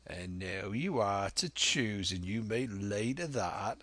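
A man's voice: on the low side, expressive, slow, a bit bright, no echo, exaggerated voice. A man voicing a commanding, threatening old pirate sea cook in a drawling for an audiobook. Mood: sly. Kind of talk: dramatic audiobook character voice.

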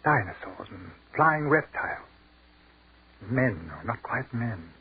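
An actor playing an educated army captain who speaks in a crisp, articulate and lyrical way, in a 1940s radio drama. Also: male voice, medium pitch, big pitch swings, takes it slowly. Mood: measured. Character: educated male army captain, dreamy and commanding. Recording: tinny, hissy 1940s radio broadcast.

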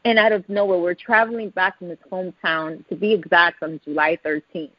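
A woman in her thirties with a Puerto Rican accent, telling her life story as a podcast guest in a fast, excited way. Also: fairly low pitch, dry recording. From Puerto Rico. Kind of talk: storytelling interview. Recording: dull, lo-fi podcast audio.

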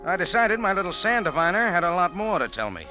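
An actor playing a wisecracking American cafe owner who narrates with a dry, hardboiled manner in a 1940s radio drama. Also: male voice, high voice, big pitch swings, fast, highs cut off. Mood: narrating. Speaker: narrator and lead. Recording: old radio broadcast with music.

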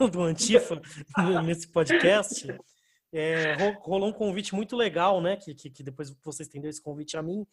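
A man's voice: a little high, a little slow, slight background noise. A man with a Brazilian accent hosting a podcast in a laid-back, slangy way, laughing easily. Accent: Brazilian Portuguese. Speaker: podcast host. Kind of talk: casual podcast chat.

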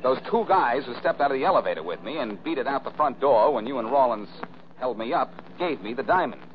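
An American actor playing a wisecracking, cool-headed amateur sleuth in a breezy, insistent 1940s radio style. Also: male voice, medium pitch, lively intonation, a little fast, natural voice. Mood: wry, reasoning. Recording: muffled, noisy old radio recording.

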